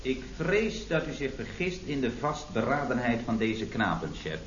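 An actor playing an earnest young radical employee in a radio comedy, hesitant and deferential yet full of slogans. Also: male voice, medium pitch, sing-song, normal speed, lightly acted. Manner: hesitant, slogan-laden, keeps addressing the boss. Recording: dull, roomy old radio recording.

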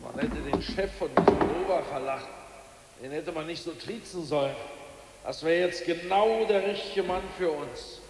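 A man's voice: fairly high pitch, normal speed, big-room sound, background noise, close to an everyday voice. An actor playing an ousted male Politburo member who grumbles and hesitates, in a 1980s radio play. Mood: grumbling.

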